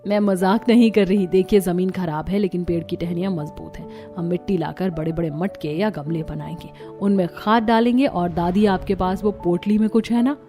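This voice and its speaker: A woman's voice: lowish voice, fairly even intonation, normal speed, hardly any pauses, mellow, soft background music, close to an everyday voice. A woman voicing a clever, determined young daughter-in-law in a cartoon story, speaking in a confident, persuasive way. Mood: confident, playful. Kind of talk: Hindi cartoon story dialogue.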